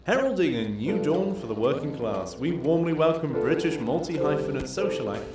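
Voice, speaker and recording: A man's voice: fairly high pitch, expressive, heavy reverb, recorded from a distance, close to an everyday voice. Man as a pompous announcer with music behind. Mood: grandiose. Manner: grand, formal, measured. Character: pompous announcer of glittering socialite events.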